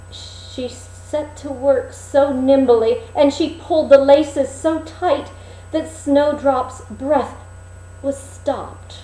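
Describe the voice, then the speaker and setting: A woman reading a fairy tale expressively, with deliberate, dramatic phrasing and emphasis. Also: fairly high pitch, mellow, echoey room, rough recording. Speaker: storyteller, reader.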